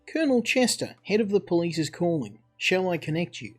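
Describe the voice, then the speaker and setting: A polite female secretary read by a man speaks with formal deference in a visual novel. The voice is raised a little in pitch. Faint music plays behind it. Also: sing-song, fairly quick. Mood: deferential.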